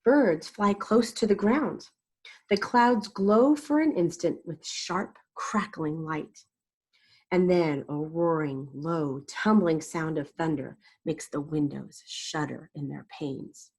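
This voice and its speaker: Woman, storyteller, lower-pitched, husky, expressive children's read-aloud, deliberate emphasis. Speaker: storyteller reading a picture book aloud to children.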